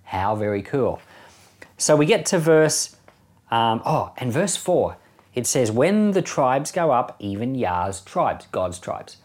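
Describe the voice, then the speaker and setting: Male pastor, enthusiastic conversational Bible teacher, mid-pitched voice with lively, swinging intonation. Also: slow. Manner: conversational, enthusiastic, with rhetorical questions. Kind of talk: informal Bible teaching commentary.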